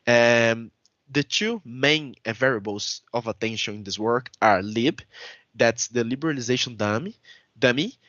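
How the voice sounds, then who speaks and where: A man's voice: medium pitch, unhurried, a bit bright, dry recording. Young Brazilian man, Portuguese accent, presenting research over a dry, muffled video call. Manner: careful, hesitant, stops to rephrase. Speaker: conference presenter. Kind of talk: academic paper presentation.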